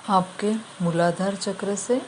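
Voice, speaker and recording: Lady doing guided meditation, really slow, long pauses, some background noise. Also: mid-range voice, fairly even intonation, a little mellow. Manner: measured, with long pauses between short phrases.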